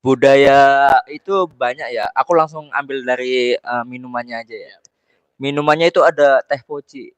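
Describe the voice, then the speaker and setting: Young male guest from Tegal, calm and plain, mid-pitched, dry close sound. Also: normal speed, smooth, no room sound. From Tegal, Central Java. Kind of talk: casual interview answers.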